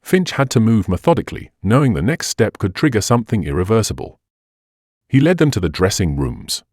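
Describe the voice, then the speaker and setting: Male mystery narrator: measured, dramatic, lowish voice, expansive intonation, dry studio-like sound. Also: very animated, darkish tone, husky, no room sound. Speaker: audiobook narrator. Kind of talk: scripted narration of a detective mystery.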